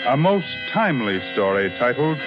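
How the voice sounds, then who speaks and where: A man's voice: medium pitch, sing-song, moderate pace. A man hosting a 1950s radio show in scripted narration. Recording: dull 1950s radio recording, loud music. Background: loud music.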